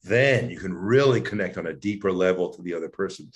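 A man who works as a voice and speech coach, explaining his work in an interview with animated, demonstrative emphasis. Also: low-pitched, fast talker.

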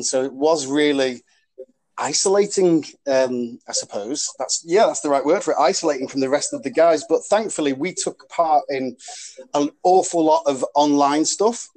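A man with a northwest English accent chats casually as a podcast guest, his voice sitting a little high. He fills gaps with ums and often starts a sentence twice. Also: darkish tone, poor audio. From Warrington, northwest England. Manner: chatty, with many ums and restarts. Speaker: podcast guest, rugby club captain. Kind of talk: casual interview chat.

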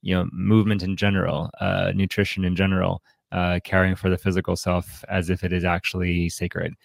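A man co-hosting a podcast in a casual, reflective book discussion, haltingly repeating his words as he thinks. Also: low-pitched, monotone, gravelly, dry recording, clean studio sound. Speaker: podcast co-host.

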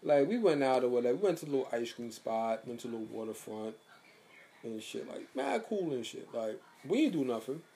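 A man in his early thirties chatting loosely with a friend, full of slang and quick asides. His pitch rises and falls in lively swings. A faint background hum sits under the voice. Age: 30s. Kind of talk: casual chat.

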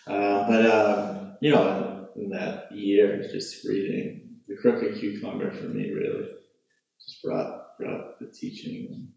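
A man sharing in a group circle, halting and earnest with many pauses and fillers. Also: lowish voice, flat delivery, gravelly, a little mellow, muffled recording. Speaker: sangha member sharing. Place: meditation hall. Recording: very echoey hall.

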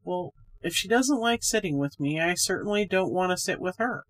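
A woman's voice: on the low side, animated, moderate pace, no echo. A woman reading a scripted ghost story aloud as the narrator. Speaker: story reader, podcast host.

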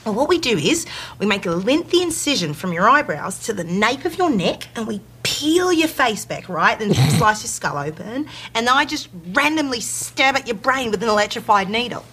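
A woman's voice: fairly high pitch, big pitch swings, moderate pace, a bit bright, slight background noise. An actress playing a playful female neuroscientist who teases in a dry, breezy way. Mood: teasing.

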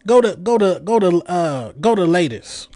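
A man in his late thirties rants in a high voice, heated and profane, and mocks his critics as he talks. The sound is dry and close, with no room around it. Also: very animated, normal speed, darkish tone, dry recording. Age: 30s. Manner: heated, profane, mocking rant with mimicked quotes. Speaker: podcast host. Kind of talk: ranting commentary.

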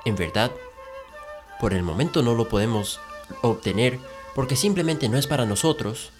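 A man's voice: medium pitch, normal speed, over music. A man co-hosting, earnestly explaining the moral of a fable with some restarts. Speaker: co-host.

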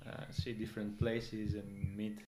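A young Greek man speaking English as a second language, relaxed and hesitant, with frequent fillers and restarts. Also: on the low side, a little slow, background noise. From Greece. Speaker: guest. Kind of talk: casual interview in English.

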